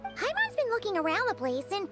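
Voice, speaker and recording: A woman voicing a tiny, chirpy, childlike fairy companion in a video game, boastful and quick to huff, with a squeaky voice. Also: huge pitch swings, exaggerated voice. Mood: cheerful. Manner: speaks of herself in the third person. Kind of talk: animated video game voice acting. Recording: music playing behind the voice.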